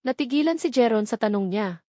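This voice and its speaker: A woman's voice: a little high, animated, fairly quick, mellow, no echo, clean studio sound. A woman with a Filipino accent reading a scripted romantic drama as an audiobook narrator.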